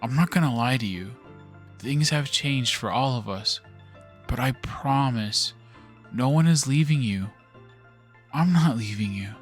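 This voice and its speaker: A man playing a kind, reassuring family friend in a radio drama, talking gently and casually. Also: medium pitch, expressive, unhurried, long pauses, soft background music, close to an everyday voice.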